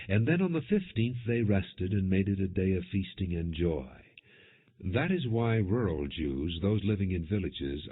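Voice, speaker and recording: A man reading scripture as an audiobook narrator, in a measured, formal, non-dramatized style. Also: low voice, slightly rough. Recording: muffled phone-like lo-fi audio.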